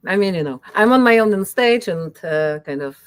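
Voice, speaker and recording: A woman whose first language is Polish, talking casually as an interview guest, halting with many fillers. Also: mid-range voice, lively intonation, smooth, no room sound. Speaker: musician guest.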